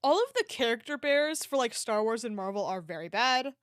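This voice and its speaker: A woman's voice: fairly high pitch, expressive, fairly quick, no room sound, clean studio sound. A woman livestreamer chatting casually and reacting to an online shop with playful, mock-dramatic exclamations.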